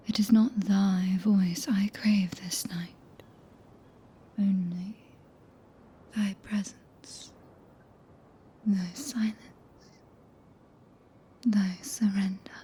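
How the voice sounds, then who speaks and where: Woman doing a regal british witch, super slow breathy whisper, cool but tender, bossy asmr roleplay. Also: mid-range voice, fairly even intonation, dark tone, exaggerated voice. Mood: tender, commanding. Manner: slow, archaic, imperious speech with long pauses. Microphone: close binaural ASMR microphone.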